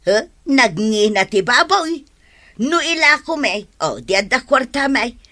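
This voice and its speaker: Bright, stingy grumbling village wife. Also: female voice, a little high, very animated, unhurried, heavily acted. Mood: dismissive. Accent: Ilocano. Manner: complaining, argumentative. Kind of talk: comedy radio drama acting.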